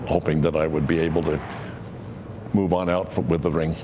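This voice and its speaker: A man's voice: a bass voice, level tone, brisk, noisy background. An older man, a sports arena announcer, chatting in a plain-spoken, self-assured way in an interview. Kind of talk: interview chat.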